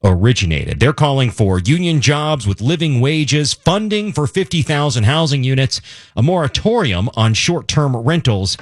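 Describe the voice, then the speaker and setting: A man's voice: mid-range voice, very animated, normal speed, no echo. A man from Kentucky co-hosting a talk radio show, wry and opinionated as he runs through local news. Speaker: radio co-host.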